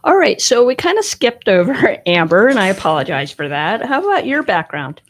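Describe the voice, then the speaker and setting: A woman's voice: mid-range voice, big pitch swings, a little fast. A woman co-hosting a local radio talk show, introducing guests and asking them questions in a friendly way. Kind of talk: casual radio chat. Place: radio studio.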